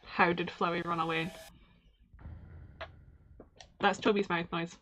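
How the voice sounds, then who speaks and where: A woman's voice: on the low side, little pitch movement, slow pace, bright, forceful tone. A young Scottish woman from Glasgow streaming a game, chatting in a rambling way, laughing often and reading the on-screen text aloud. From Glasgow, Scotland.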